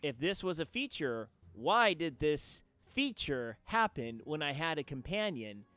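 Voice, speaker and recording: A man streaming a game and chatting casually, telling stories with theatrical, drawn-out emphasis. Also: a little high, big pitch swings, bright, forceful tone, faint music behind. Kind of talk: casual chat, storytelling.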